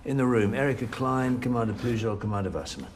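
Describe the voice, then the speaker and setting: An actor playing a wry, courteous investigator, casual and polite in a naturalistic TV drama. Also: male voice, mid-range voice, quick pace, a little hiss or hum, close to an everyday voice. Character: wry, courteous British male investigator making small talk. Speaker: police interviewer.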